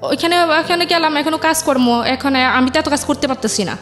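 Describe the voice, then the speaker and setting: A woman's voice: sits high, a little fast, a bit bright, faint music behind. A young woman with a Bangladeshi accent telling her life story as an interview guest, in colloquial speech full of repetition and quoted dialogue. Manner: colloquial dialect forms, repeats herself, quotes family members. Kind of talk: personal life story told conversationally.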